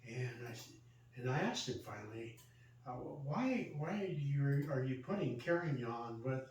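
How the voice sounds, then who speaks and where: A man's voice: medium pitch, expressive. Older American man in a very echoey room. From Central Valley, California, United States. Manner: halting, reminiscing. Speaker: storyteller. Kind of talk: casual personal storytelling. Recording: roomy echo, distant mic, faint noise.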